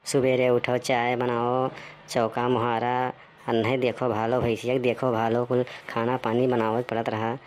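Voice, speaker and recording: A man plays a long-suffering village husband in a cartoon. He talks in exclamatory, theatrical Awadhi at an average pitch. The recording has clear noise behind the voice. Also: completely flat delivery, measured pace, natural voice. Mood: resigned. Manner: exclamatory, theatrical rustic talk. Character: put-upon village husband, grumbling and melodramatic. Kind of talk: cartoon comedy dialogue.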